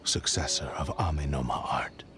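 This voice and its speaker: Game role: ancient-feeling sword spirit, solemn, repentant, low-pitched, measured formal delivery. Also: male voice, whispered. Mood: remorseful. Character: repentant spirit of a cursed sword, grave and proud. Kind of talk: video game voice acting. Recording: loud music behind the voice.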